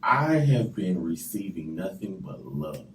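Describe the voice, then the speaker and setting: A man's voice: low-pitched, big pitch swings, measured pace, far from the mic, a little hiss or hum. Earnest man speaking off mic in echoey studio. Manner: earnest, emphatic, repeats words. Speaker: guest rapper and actor. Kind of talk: radio interview. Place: radio studio.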